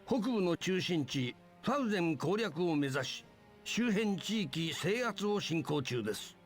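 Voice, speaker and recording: A man's voice: on the high side, very animated, fairly quick, soft background music. A man playing an imperial army general in a Japanese video game, delivering formal military briefings. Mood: businesslike.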